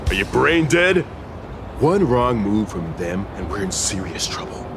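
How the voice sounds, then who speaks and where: A man's voice: fairly low pitch, big pitch swings, unhurried. A man voicing an irritable, cautious video game character in a scolding manner. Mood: exasperated. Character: irritable, cautious male cynic. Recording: music over the voice.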